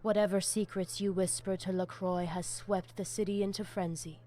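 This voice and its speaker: A woman's voice: mid-range voice, fairly even intonation, moderate pace, warm tone, slight background noise, lightly acted. Woman doing grave vampire pack boss lady, formal and fierce, video game, some background ambience. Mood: reassuring. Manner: grave, formal, stately phrasing. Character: solemn Spanish-speaking female vampire pack leader, fierce and loyal. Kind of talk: dramatic video game acting.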